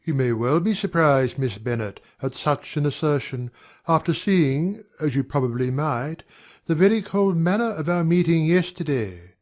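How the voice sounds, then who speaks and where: A courteous young gentleman of a period novel, acted by a man, with a fairly high male voice. He speaks in measured, formal phrases, smooth and confiding yet guarded, as dialogue in an audiobook drama. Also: lively intonation, close to an everyday voice.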